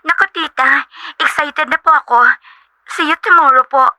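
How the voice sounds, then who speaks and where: Woman playing a very bright, high-voiced fawning socialite. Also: steady tone, normal speed, dry recording. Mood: eager, fawning. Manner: gushing, deferential. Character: eager, fawning, sweet young socialite woman. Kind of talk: dramatized audio story acting.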